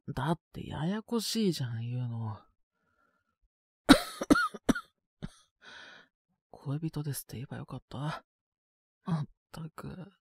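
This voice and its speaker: A man acts out a young male student down with a cold in an audio drama. His voice is husky and breaks into coughs, with big swings in pitch. He talks slowly and casually, polite but mildly exasperated, in trailing phrases. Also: dry recording, studio quality, exaggerated voice. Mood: exasperated, embarrassed. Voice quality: husky, with frequent coughing fits. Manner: casual youthful speech, trailing hesitant phrases. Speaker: voice actor. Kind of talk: one-sided situation voice drama.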